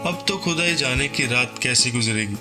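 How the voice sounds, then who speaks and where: A man's voice: mid-range voice, lively intonation, moderate pace, over music. A man casually explaining things to viewers while camping. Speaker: camper and travel vlogger. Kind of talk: casual vlog narration.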